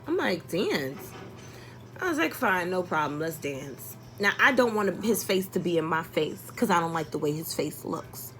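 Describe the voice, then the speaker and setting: A woman's voice: on the low side, fairly quick, hardly any pauses, a bit bright, soft background music. A young adult woman telling a personal story casually, exasperated and blunt, acting out dialogue and swearing often.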